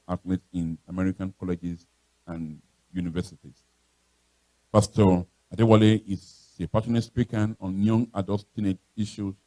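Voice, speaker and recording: A man, a church pastor reading a formal introduction in a measured, halting way. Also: low voice, mellow, no room sound, rough recording. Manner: measured, halting, with repeated words. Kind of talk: formal introduction read from notes. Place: church podium.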